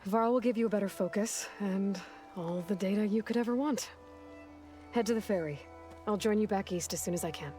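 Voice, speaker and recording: A resolute young hunter heroine in a video game, voiced by a woman, speaking firmly and evenly at a mid pitch. Game music plays loudly under her. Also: fairly quick, natural voice. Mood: reassuring. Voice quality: firm and level. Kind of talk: video game cutscene acting.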